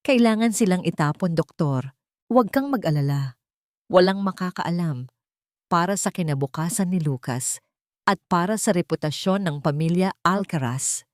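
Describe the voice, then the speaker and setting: A woman's voice: lowish voice, expressive, normal speed, no room sound, studio quality, natural voice. A woman voicing a cold, imperious, scheming rich matriarch in an audio story.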